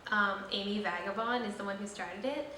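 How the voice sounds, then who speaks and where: A woman's voice: medium pitch, a little fast, pushed and bright. A young woman hosting her vlog, chatting casually and rambling with lots of fillers. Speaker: vlogger host. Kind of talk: casual chat. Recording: echoey room, slightly distant mic, faint noise.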